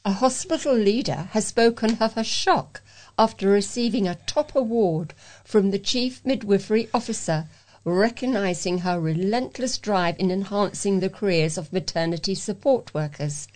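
A woman's voice: medium pitch, animated, normal speed, a little mellow. A woman reading local news aloud as a volunteer reader, carefully, with occasional stumbles over words. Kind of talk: read-aloud local news for a talking newspaper.

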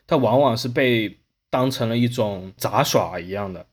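A man's voice: medium pitch, normal speed. A man hosting a casual podcast discussion, explaining his points through examples. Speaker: podcast host.

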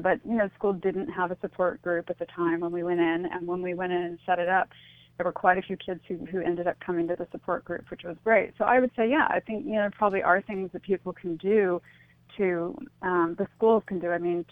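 A middle-aged American woman speaking as a radio interview guest, reflective and halting as she restarts her thoughts. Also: on the low side, steady tone, no room sound. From United States. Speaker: interview guest, suicide-loss advocate. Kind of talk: earnest radio interview conversation. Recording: muffled phone-like radio line.

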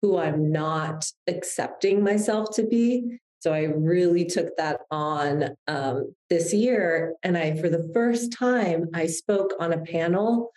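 Mellow-voiced woman in her thirties. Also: lowish voice, slow pace, hardly any pauses, reverberant, low-quality recording. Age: 30s. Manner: reflective, heartfelt, with frequent restarts. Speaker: guest, publicist. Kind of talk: podcast interview.

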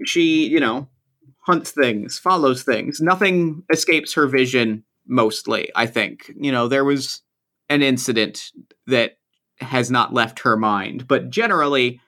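A man hosting a tabletop game podcast, chatting casually and eagerly, and saying again and again how excited he is. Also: mid-range voice, measured pace. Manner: eager, gushing, repeats that he is excited. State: has a cold, losing his voice. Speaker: podcast host. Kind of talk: casual chat.

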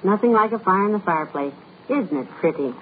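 An actress playing a gentle, affectionate middle-aged wife in 1940s radio comedy. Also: female voice, medium pitch, lively intonation, a little fast, close to an everyday voice. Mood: fond. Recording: tinny old radio broadcast with faint hiss.

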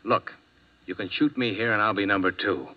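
An actor playing a tough, level-headed insurance investigator in a 1950s radio drama, speaking in a terse, probing, hard-boiled way. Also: male voice, fairly low pitch, moderate pace, lightly acted. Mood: firm, reasoning. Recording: dull, band-limited old 1950s radio recording.

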